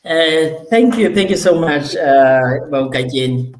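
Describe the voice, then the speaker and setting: A South African voice in their forties, a native isiXhosa speaker, telling their own story in a measured, unhurried way with many small pauses. The pitch sits in the middle. The voice sounds roomy and echoey, as if recorded in a bare space. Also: animated, a little hiss or hum. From South Africa, Eastern Cape. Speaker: university lecturer, guest. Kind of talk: personal storytelling interview. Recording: roomy echo.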